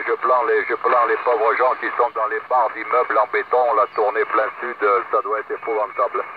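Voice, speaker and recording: Man chatting through heavy noise on dull audio. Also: medium pitch. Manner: chatty, hesitant, with frequent "hein" tags. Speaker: amateur radio operator. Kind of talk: casual ham radio chat.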